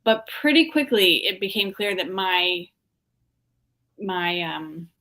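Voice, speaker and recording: Woman artist from the dc area, lowish voice, thoughtful, lots of ums. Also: lively intonation, takes it slowly, pushed and bright. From Washington, D.C. area, USA. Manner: reflective, hesitant, many ums. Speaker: artist guest. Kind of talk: casual interview.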